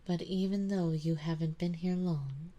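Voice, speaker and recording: Woman acting as elegant vampire noblewoman, low-pitched, dark mellow tone, doting and possessive, soft whispering, ASMR roleplay. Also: steady tone, close to an everyday voice. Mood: composed, coaxing. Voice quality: soft, breathy, mostly whispered. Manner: slow, genteel, coaxing.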